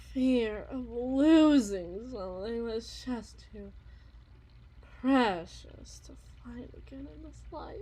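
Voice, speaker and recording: A woman playing an obsessive, unhinged yandere wife in an ASMR roleplay monologue, theatrical and halting, with eerie, menacing tenderness and a breathy voice. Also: fairly high pitch, rough recording. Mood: musing, bitter. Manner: theatrical, halting, drawn-out repetitions, swinging from hushed to intense.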